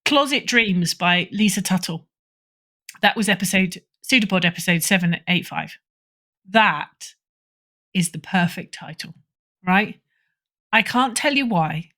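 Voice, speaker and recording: A woman's voice: on the low side, expressive, a little slow, long pauses, pushed and bright. Emphatic woman chatting as podcast guest. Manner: emphatic, opinionated, conversational. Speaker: podcast guest, fiction editor. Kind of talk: casual interview chat.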